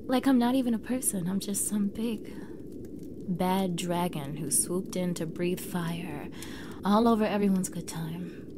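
Woman acting a halting, prickly young mean girl, ambient noise. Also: on the low side, close to an everyday voice. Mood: hurt, confiding. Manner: halting, sighing, often dropping to breathy near-whisper. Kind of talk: intimate ASMR audio roleplay, scripted. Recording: clearly audible background noise bed.

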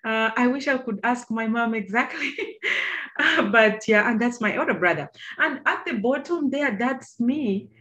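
A woman's voice: on the high side, lively intonation, unhurried, fairly bright tone. A middle-aged woman from Zambia with a Zambian accent, giving a chatty talk over slides to students on a video call, with many fillers and questions to her listeners. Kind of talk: informal presentation over slides.

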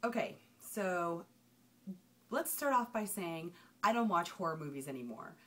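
A woman's voice: fairly low pitch, unhurried. A young woman talking casually to her webcam at home, chatty and emphatic as she gives a movie review. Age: young adult. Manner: chatty, animated hand gestures, emphatic outbursts. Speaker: vlogger. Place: small home room with shelves. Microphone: webcam at desk.

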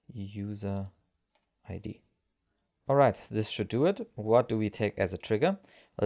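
A man narrating a software tutorial as its presenter, explaining things step by step. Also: fairly low pitch, creaky.

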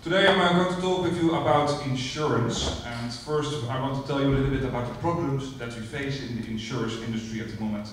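A man's voice: mid-range voice, fast, hardly any pauses, a little hiss or hum. A man with a Dutch accent giving a conference presentation, hesitant with frequent uhs. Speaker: company representative presenting. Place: large theater stage. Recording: echoey hall from far off.

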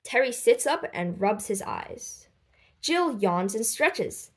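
A woman reading a children's book aloud expressively, with deliberate, dramatic phrasing and lifted voices for dialogue. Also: medium pitch, very animated. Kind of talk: expressive storybook read-aloud.

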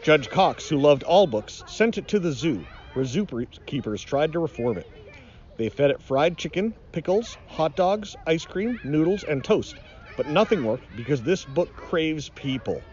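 A man's voice: fairly high pitch, big pitch swings, unhurried, darkish tone, muffled recording. A middle-aged man reading a children's storybook aloud in a dramatic, mock-ominous way. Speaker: nature educator reading aloud.

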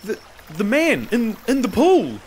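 A man voicing a frightened, servile slave in a storytelling, with a shrill voice and a flustered stammer. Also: wildly swooping pitch, unhurried, fairly bright tone, slight background noise, exaggerated voice. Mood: nervous, dogged.

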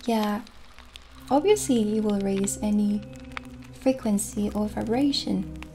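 A woman in her thirties playing a kindly witch shopkeeper in a gentle, soothing, soft-spoken ASMR roleplay. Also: medium pitch, takes it slowly. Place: small candlelit indoor set. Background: soft background music.

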